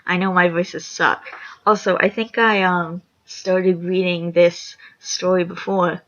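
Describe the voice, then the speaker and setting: A young woman with a raspy voice, reading a horror fan story aloud, rambling and apologetic with chatty asides. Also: fairly low pitch, level tone, slow, a bit bright. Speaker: story reader. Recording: lo-fi dull recording.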